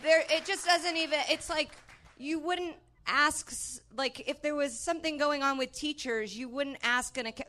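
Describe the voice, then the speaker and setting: A female comedian with a very high, forceful voice. She banters as a panelist, blunt and irreverent, cutting in with comic complaints. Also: moderate pace, some chatter behind. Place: live stage show.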